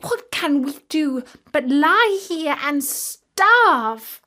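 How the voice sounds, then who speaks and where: A woman's voice: sits high, expressive, very slow, bright, forceful tone, voice pushed up, full character voice. A woman voicing a helpless, plaintive young raven chick in a children's storybook tale. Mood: wailing, desperate. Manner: wailing, plaintive.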